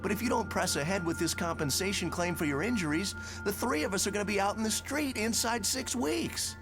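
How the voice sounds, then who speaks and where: A man's voice: sits high, a little fast, music in the background. A man playing an aggrieved working-class father in a motion comic, pressing and exasperated. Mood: pleading. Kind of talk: motion comic character acting.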